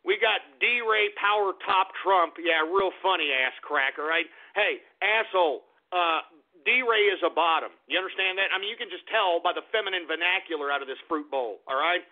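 A man hosting an internet talk radio show, ranting in a loud, profane, exasperated way, with sudden shouted outbursts. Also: high-pitched, moderate pace. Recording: tinny phone-like lo-fi audio.

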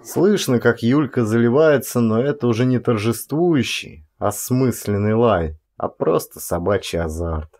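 A male audiobook narrator with a mid-range voice performs a first-person tale. His delivery is expressive and theatrical, varied from phrase to phrase, with deliberate pauses. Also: mid-range voice.

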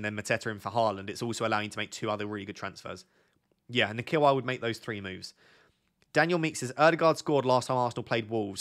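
Hoarse man streaming fantasy football. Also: fairly low pitch. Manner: thinks aloud, reads questions from chat, talks quieter and slower. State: losing his voice, sore throat. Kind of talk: live stream chat answering viewers. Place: indoor home studio at a desk.

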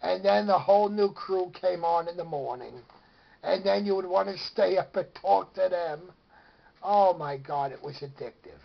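A man's voice: high-pitched, moderate pace, vocal fry. An older man reminiscing casually in a rambling, storytelling way, recorded indoors on a camera microphone with dull sound. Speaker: vlogger. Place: small room indoors, in an armchair.

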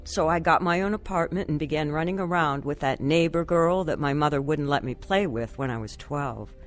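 An actress plays a troubled young woman in a radio drama, confessional and anxious. Her voice sits fairly low and she speaks with raw earnestness. Faint music runs behind her. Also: moderate pace, close to an everyday voice. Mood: somber confiding. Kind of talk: radio drama testimony narration and acting.

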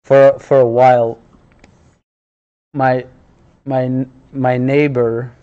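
A man in his thirties chatting casually on a live stream from a small office, with a naturally loud voice and relaxed chuckling asides, into a headset mic. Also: medium pitch, drawn-out words, clear, resonant tone. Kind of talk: casual chat while drawing on stream. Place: small office room, indoors. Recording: dull lo-fi stream audio.

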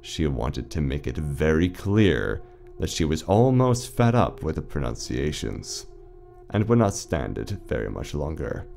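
Surreal audio drama announcer, acted by a man: extremely deep and creaky, eerily soothing, deadpan delivery over music. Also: measured pace, exaggerated voice. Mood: deadpan announcing. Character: eerily calm, reassuring male announcer of a surreal cult-like broadcast.

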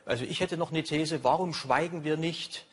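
Middle-aged man, emphatic and agitated talk show guest, husky, raised pitch. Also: moderate pace. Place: TV studio with audience.

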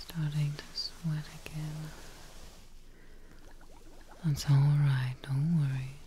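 A woman in her twenties whispering softly and reassuringly in an ASMR roleplay as a kindly witch, close to the mic. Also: low-pitched, a little hiss or hum. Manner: hushed, slow, reassuring. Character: kindly witch receptionist brewing a healing potion.

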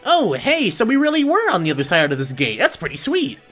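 A woman's voice: mid-range voice, huge pitch swings, brisk. Chatty woman doing gameplay commentary. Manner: chatty, self-correcting, reads dialogue aloud. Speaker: let's play host. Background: game music.

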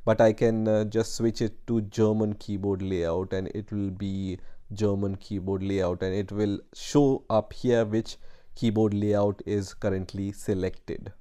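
A man narrating a step-by-step computer tutorial over a screen recording, explaining plainly with frequent pauses and "right?" checks. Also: fairly low pitch, level tone, dark tone, clear, resonant tone. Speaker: tutorial host.

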